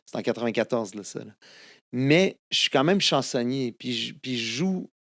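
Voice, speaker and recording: A man's voice: medium pitch, expressive, moderate pace, a bit bright, dry recording, studio quality. A man in his fifties with a Québécois French accent, whose first language is Italian, telling stories as a guest in a casual interview, with many fillers and restarts. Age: 50s. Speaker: guest singer-songwriter.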